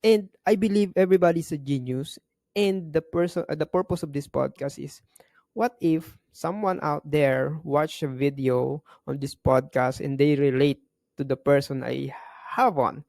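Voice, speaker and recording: Hesitant, gravelly-voiced Filipino podcast host. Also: mid-range voice, moderate pace, darkish tone, dry recording. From Philippines. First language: not English. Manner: hesitant, with restarts and filler words. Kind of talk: interviewing a guest.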